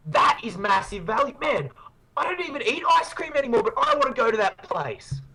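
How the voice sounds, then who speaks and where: A man's voice: very high voice, sing-song, a little fast, vocal fry, sharp tone, low-quality recording. A man hosting a podcast in casual chat, playful and jokey, hyping ideas with enthusiasm. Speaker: podcast host.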